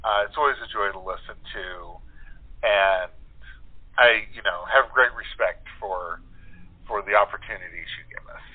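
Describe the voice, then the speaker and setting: A man's voice: on the low side, moderate pace. Man chatting over a thin phone line. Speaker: guest, playwright and actor. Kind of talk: casual interview chat. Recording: thin telephone-line sound.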